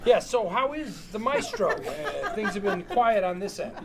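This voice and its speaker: A man's voice: sits high, expressive, unhurried, over a noisy crowd. A man playing a slick, jittery lawyer in a table read, cajoling and wisecracking with plenty of stammering. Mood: glib, jittery. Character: slick, fast-talking strip-mall lawyer, jittery and cajoling. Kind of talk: amateur table read of a TV script.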